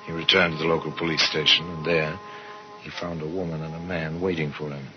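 An actor playing a worldly, sardonic narrator of murder tales in a 1950s radio mystery, with measured, dramatic delivery. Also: male voice, rumbling low, level tone, over music. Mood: musing. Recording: muffled, very noisy old radio recording.

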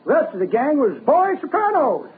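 A man's voice: very high voice, wildly swooping pitch, moderate pace, exaggerated voice. An actor playing a jolly, rambling old man who tells tall tales in a folksy, cracked voice on 1940s radio comedy. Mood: jolly, wry. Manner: folksy, joking storytelling. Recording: muffled old radio broadcast.

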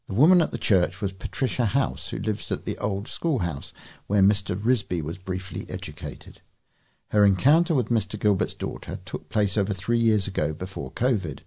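Deep, husky male newsreader. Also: mellow. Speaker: volunteer newsreader for a local talking newspaper. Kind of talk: scripted news reading.

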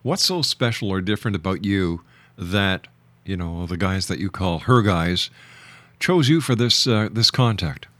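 Male radio host, lowish pitch, dry studio-style sound, deliberate probing interviewer who questions phrase by phrase. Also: expressive, a bit bright, dry recording.

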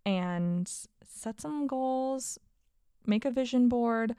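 A woman's voice: fairly high pitch, extremely slow, dark tone, clean tone, no room sound, clean studio sound. A woman in her late twenties hosting a casual solo podcast, talking candidly and upbeat about her year. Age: 20s. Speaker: podcast host.

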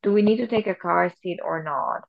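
Gravelly-voiced woman from Venezuela, chatty. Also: on the low side, fairly quick, no echo, dull audio. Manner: chatty, many fillers and restarts. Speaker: podcast co-host. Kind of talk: casual chat.

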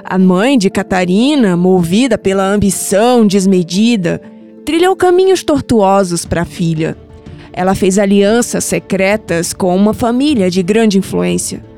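A woman's voice: medium pitch, animated, mellow. Actress as solemn, tender Brazilian grandmother, music beneath. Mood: solemn, grave. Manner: solemn, affectionate, measured. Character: tender, wise, mystical old grandmother revealing family secrets. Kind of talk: audio drama acting. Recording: music bed under the voice.

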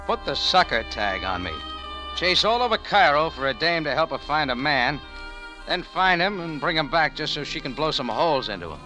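An actor playing a cynical, world-weary bar owner in a 1940s radio drama, terse, sardonic and slangy. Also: male voice, a little high, very animated, moderate pace, poor audio. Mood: sardonic, tense. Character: hard-boiled cynical bar owner, weary noir adventurer. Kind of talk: 1940s radio detective acting and narration. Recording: old radio recording with music.

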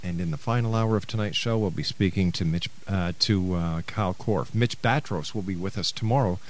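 Male radio host, middle-aged, low voice, interview format. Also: fairly quick.

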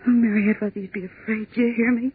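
A woman's voice: on the high side, steady tone, very fast, slightly rough. An actress playing a terrified young office woman, pleading frantically, in 1940s radio acting style. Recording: tinny 1940s radio broadcast.

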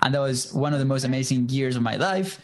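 A man in his thirties with a Venezuelan Spanish accent, Spanish being his first language, recounts his past as a guest on a podcast. He talks in an earnest, reflective way, and his voice sits in an ordinary middle pitch. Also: fast talker. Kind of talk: casual storytelling interview.

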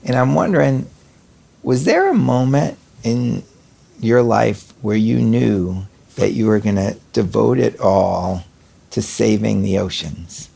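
Gravelly-voiced male interview host. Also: on the low side, lively intonation, slow, mellow. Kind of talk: conversational podcast interview.

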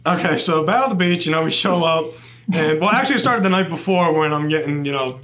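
A man's voice: on the high side, quick pace. A man in his late twenties, a police officer guest, chatting casually on a podcast and acting out quotes as he tells stories. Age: 20s. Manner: animated storyteller, acts out quotes.

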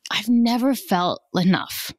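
A woman, a podcast guest speaking candidly and emotionally, often halting as she reflects. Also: mid-range voice, dry recording. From born in South Africa, raised in Australia. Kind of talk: candid personal conversation.

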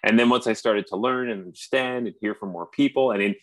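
A man's voice: fairly low pitch, no echo. A man in his forties with a Canadian accent, talking earnestly in a casual conversation and often restarting his sentences. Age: 40s. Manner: earnest, halting with frequent restarts. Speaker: podcast host.

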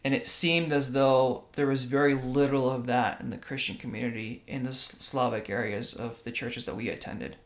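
A man's voice: medium pitch, steady tone, moderate pace, few pauses. Young male podcast co-host, earnest, keeps asking "right?", born in Russia. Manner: earnest, tag questions like "right?". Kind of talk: casual religious podcast talk.

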